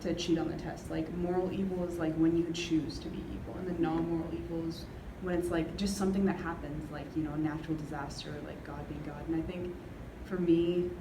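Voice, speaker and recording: A woman's voice: low voice, level tone, fairly quick, few pauses. A young adult woman talking casually and reflectively in a group. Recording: echoey room with background noise.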